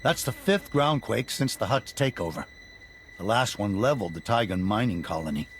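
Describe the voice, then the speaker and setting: Troubled leader with animated delivery. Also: male voice, fairly low pitch, normal speed, slight background noise. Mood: troubled, defensive. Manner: formal, earnest, emphatic. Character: troubled, dignified male civilian resistance leader, an uncle. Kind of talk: video game cutscene acting.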